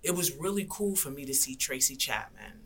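A woman talking as a guest in an interview, earnest and reflective, sometimes dropping to soft asides. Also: a bass voice, lively intonation, fairly quick, breathy edge. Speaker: guest rapper and activist. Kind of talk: conversational interview.

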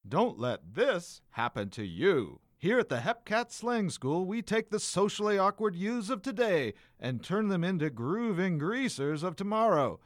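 A man's voice: sits high, sing-song, unhurried, hardly any pauses, no room sound, studio quality. A man playing a peppy 1950s announcer in a spoof radio commercial. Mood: salesy.

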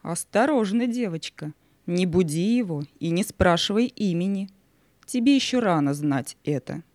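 A woman's voice: lowish voice, animated, normal speed, darkish tone, no room sound, lightly acted. A woman playing the stern, majestic spirit of Night in a fairy-tale audio play, with a haughty, imperious manner.

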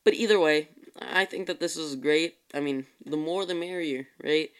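A man's voice: fairly high pitch, normal speed, fairly bright tone, clean tone. A tired young man hosting his own podcast, chatting casually in a rambling way and often correcting himself. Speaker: podcast host. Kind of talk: casual solo podcast chat.

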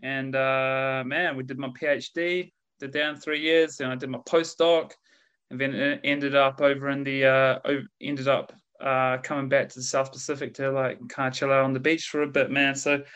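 A man's voice: mid-range voice, flat delivery, moderate pace, fairly bright tone, clear, resonant tone, lo-fi sound. A man in his thirties with a New Zealand accent, a guest on a podcast talking over a video call in a laid-back, slangy way. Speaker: guest researcher. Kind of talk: casual podcast interview.

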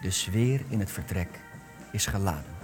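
A young man narrating a radio play, reading out the cast and stage directions in a measured, deliberate way. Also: low voice, lively intonation, music in the background.

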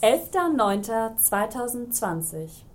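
A woman's voice: fairly high pitch, big pitch swings, slow, background noise. A woman reading out timings in clipped, repetitive announcement phrases.

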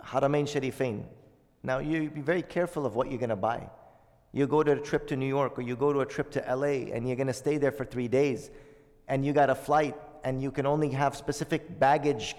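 A man's voice: fairly high pitch, big-room sound. A man giving a religious lesson to a congregation, explaining Arabic terms and asking his listeners questions. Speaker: Islamic teacher.

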